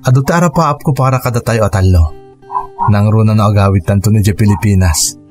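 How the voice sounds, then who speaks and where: A man playing a kind, devoted suitor and stepfather figure in a radio drama, gentle and sincere. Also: on the low side, brisk, soft background music, natural voice.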